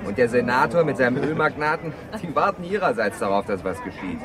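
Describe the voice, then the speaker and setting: Radio drama: man as academic bringing news, rapid clear exposition, middle pitch, heavy crowd bed. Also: lively intonation, lightly acted. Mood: tense, informative. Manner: brisk, explanatory. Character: breathlessly informed male academic reporting campus crisis. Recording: busy outdoor crowd behind voice.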